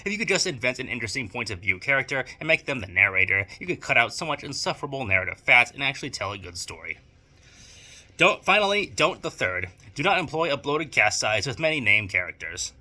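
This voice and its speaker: A man's voice: medium pitch, very animated, fast talker, pushed and bright. A man presenting a scripted instructional video essay, speaking in a formal, bookish and emphatic way. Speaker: video presenter giving writing advice.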